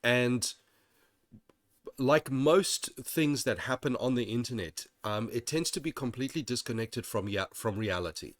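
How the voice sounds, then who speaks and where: A man's voice: mid-range voice, a little slow, no room sound, clean studio sound. A man hosting his channel, talking in a rambling, digressive way with many restarts, sounding slightly nasal while getting over an illness. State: occasional coughing. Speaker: channel host. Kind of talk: casual commentary monologue.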